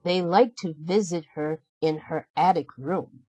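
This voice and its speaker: A woman reading a children's storybook aloud as narrator, in an expressive, deliberate storytelling manner. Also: fairly low pitch, breathy edge, no echo. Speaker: storybook reader.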